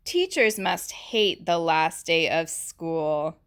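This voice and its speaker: A woman reading a picture book aloud to young children in an animated teacherly way, pausing to ask questions and chat about the story. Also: deep voice, smooth. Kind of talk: expressive read-aloud storytelling with asides and questions.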